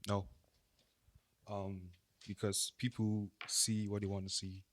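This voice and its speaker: Very deep, flat-voiced young man. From Nigeria. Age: young adult. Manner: slow, measured, brief answers. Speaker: youth podcast panelist. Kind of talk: casual group discussion. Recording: faint background noise.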